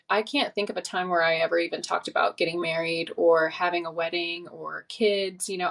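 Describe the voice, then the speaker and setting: A woman in her twenties, a podcast guest, talking earnestly and reflectively in casual conversation. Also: fairly low pitch. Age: 20s. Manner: reflective, earnest, many fillers.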